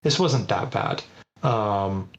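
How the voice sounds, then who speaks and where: A man's voice: lowish voice, animated, slow, gravelly. A man co-hosting a podcast in casual, conversational gaming chat. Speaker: podcast co-host.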